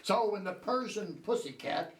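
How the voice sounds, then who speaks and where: A man's voice: high-pitched, lively intonation, normal speed. A man playing a wisecracking, hard-boiled police detective in an old-time radio spoof, with clipped patter. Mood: questioning, dry. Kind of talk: amateur old-time radio comedy acting on stage. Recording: echoey hall, distant mic.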